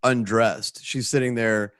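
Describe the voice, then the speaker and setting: A man's voice: medium pitch, fairly even intonation, measured pace, a bit bright, clean tone, no room sound, studio quality. A man chatting casually and telling stories as a panel guest. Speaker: panel guest from a drama's creative team. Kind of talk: casual storytelling chat.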